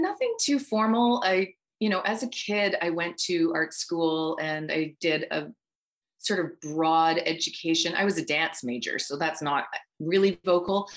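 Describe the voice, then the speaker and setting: A woman's voice: lowish voice, a bit bright. A woman, an actress speaking casually as an interview guest. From Ontario, Canada.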